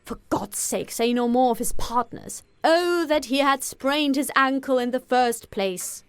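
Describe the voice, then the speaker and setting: A woman's voice: high-pitched, unhurried, clear, resonant tone, dry recording, pitched above the performer's own voice. A woman voicing an impatient, sardonic middle-aged husband in an audiobook, weary and emphatic. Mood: exasperated. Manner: exasperated, emphatic. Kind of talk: audiobook character voice.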